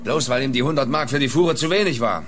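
A man playing a rough, colloquial fairground man under police questioning in a radio crime play. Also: mid-range voice, fast, fairly bright tone, faint music behind, lightly acted. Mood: grudging. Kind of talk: radio crime drama acting.

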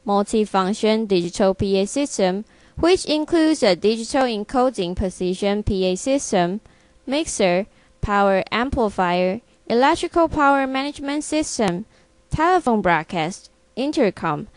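A woman presenting a product in scripted narration, reading in a measured, item-by-item way. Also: medium pitch, lively intonation, darkish tone, dry recording.

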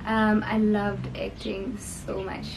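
A woman's voice: medium pitch, level tone, takes it slowly, vocal fry, background noise. A young woman in her twenties with a South African accent, whose first language is Sepedi, chatting casually to camera in a get-to-know-me video from her bedroom. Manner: chatty, gushing, with frequent ums and laughs. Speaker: YouTuber. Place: bedroom, indoors. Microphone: camera in front, seated facing it.

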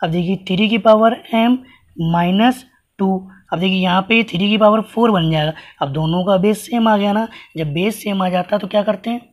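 Hoarse man teaching maths step by step. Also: high-pitched, moderate pace. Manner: patient, explains with repeated rhetorical questions. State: hoarse, voice giving out. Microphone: hands writing on paper, camera over the page.

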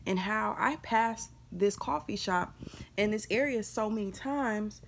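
Gravelly-voiced young woman reflecting. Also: medium pitch, a little slow, a little hiss or hum. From Houston, Texas. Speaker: student documentary maker. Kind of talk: reflective testimonial.

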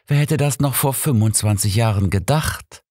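A man's voice: medium pitch, normal speed, darkish tone, no echo, studio quality, pitched above the performer's own voice, close to an everyday voice. Man playing a taunting, vengeful killer, raised voice. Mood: mocking, menacing. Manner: scornful, taunting. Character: sadistic, vengeful male killer, coldly mocking.

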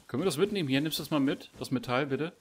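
A man's voice: fairly high pitch, rapid-fire, slight background noise. A man chatting casually while playing a survival game, teasing himself and his teammate with frequent tag questions. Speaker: gamer streamer. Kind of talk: casual gameplay chat.

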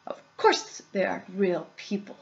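A woman's voice: mid-range voice, unhurried, creaky, muffled recording. A woman voicing an eccentric, exuberant male candy factory owner, boastful and theatrical, in a storybook reading. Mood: excited. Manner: theatrical, emphatic, delighted exclamations.

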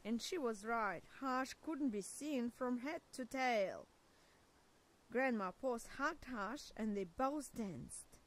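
A woman storyteller reads a children's picture book aloud. Her voice is high, and she takes her time, shaping phrases deliberately and expressively for very young listeners. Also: animated, low-quality recording.

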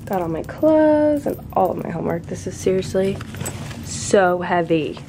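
Raspy, hoarse young woman vlogging. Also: lowish voice, sing-song, moderate pace, mellow, slight background noise. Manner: chatty and giggly, often laughing. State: hoarse from a cold. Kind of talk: casual vlog chat. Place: bedroom, car and backyard outdoors. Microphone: handheld camera at arm's length.

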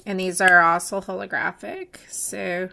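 A woman chatting casually while mixing glitter, her voice going hoarse as she loses it, with many pauses and fillers. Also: lowish voice, level tone, sharp tone. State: losing her voice, hiccups. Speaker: nail art seller mixing glitter. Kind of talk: casual crafting voice-over.

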